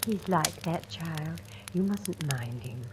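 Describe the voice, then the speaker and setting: Low-voiced, knowing older cook. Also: female voice, expressive, over music. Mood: soothing. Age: middle-aged or older. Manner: measured, gentle, grave. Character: kindly older woman cook, former schoolteacher, quietly knowing. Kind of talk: 1940s radio drama acting. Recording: noisy vintage radio recording with clicks.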